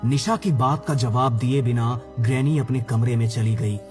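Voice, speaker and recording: A man's voice: medium pitch, moderate pace, darkish tone. A man narrating a scripted horror story as its storyteller. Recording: background music.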